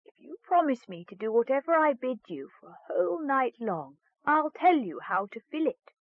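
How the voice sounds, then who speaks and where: Casting: woman as goggle-eyed fairy-tale frog, croaky, insistent, singsong wheedling, pitched up. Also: sing-song, normal speed, no room sound, phone-quality sound, full character voice. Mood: knowing, bargaining. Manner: singsong, rhyming entreaties with endearments. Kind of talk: fairy tale storybook reading.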